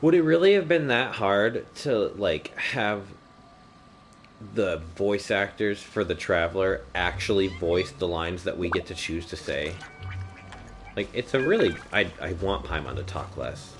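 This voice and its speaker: Deep voiced guy streaming a game, slow halting wry commentary, game music behind him. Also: animated. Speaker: video game livestreamer. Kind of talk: casual gameplay commentary.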